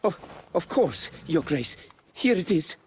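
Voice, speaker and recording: An actor playing an anxious, deferential nobleman winemaker in a video game, proud yet servile, with a formal and halting delivery. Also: a little high, big pitch swings, darkish tone, slightly rough, exaggerated voice. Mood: flustered, deferential.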